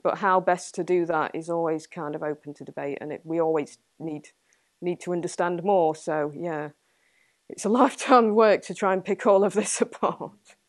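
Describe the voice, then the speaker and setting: A young Englishwoman with a slightly low voice fields questions in a small meeting room, picked up by a camera at a distance. She thinks out loud, hedges her points and laughs softly between them. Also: moderate pace, no echo, studio quality. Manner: thoughtful, hedging, laughs often. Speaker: guest speaker, entomologist. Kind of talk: answering audience questions after a talk.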